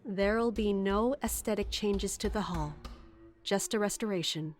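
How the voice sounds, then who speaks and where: A woman's voice: mid-range voice, normal speed, soft background music, lightly acted. Woman playing composed, deferential castle official. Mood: formal. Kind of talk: fantasy audio drama acting and narration.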